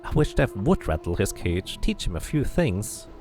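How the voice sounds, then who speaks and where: A man's voice: lowish voice, big pitch swings, moderate pace, music in the background. A man voicing a cranky, scornful old woman who gossips and mutters insults in a fantasy game.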